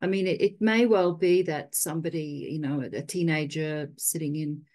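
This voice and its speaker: A woman's voice: deep voice, fairly even intonation, moderate pace, darkish tone. A woman on an online panel, giving a thoughtful unscripted answer as a researcher. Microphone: video call.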